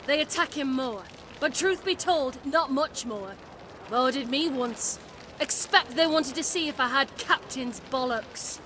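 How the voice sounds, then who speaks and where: A brash salty ship captain. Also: female voice, high voice, sharp tone, slight background noise. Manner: brash and sardonic.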